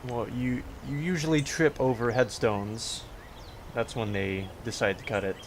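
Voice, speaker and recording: A man with a congested voice giving a dry, sarcastic narrated tour of a run-down cemetery, often halting and correcting himself. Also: mid-range voice, fairly even intonation, a little hiss or hum. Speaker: video host.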